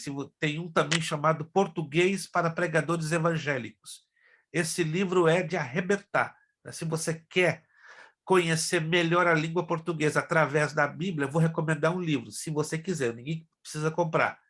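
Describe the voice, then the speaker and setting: A man's voice: on the high side, fairly bright tone. A middle-aged man, a pastor from Brazil whose first languages are Hebrew and Spanish, teaching an online class in a chatty way that speaks straight to his students. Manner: chatty, addresses class directly.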